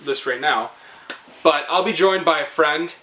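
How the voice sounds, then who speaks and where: Young male vlogger, playful and rambling storyteller, forceful bright tone, roomy echo. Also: fairly high pitch, unhurried. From Canada. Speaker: host of a storytelling video series. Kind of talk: casual comic storytelling to viewers.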